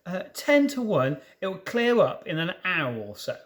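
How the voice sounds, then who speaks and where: A man's voice: sits high, big pitch swings, moderate pace, slight background noise. Man playing a prim big sister, schoolgirl, mildly bossy, varied intonation, storybook. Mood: reassuring, chiding. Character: sensible, motherly older schoolgirl sister.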